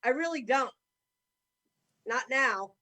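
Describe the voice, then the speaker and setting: A woman's voice: high-pitched, expressive, slow, bright, forceful tone. A woman speaking as a guest in a roundtable discussion, talking in a blunt, emphatic way. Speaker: podcast guest.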